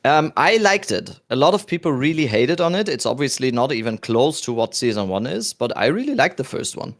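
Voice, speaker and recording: Gravelly German-accented man in his thirties. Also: mid-range voice, fairly quick. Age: 30s. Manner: relaxed, rambling with restarts. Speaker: podcast host. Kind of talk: casual chat.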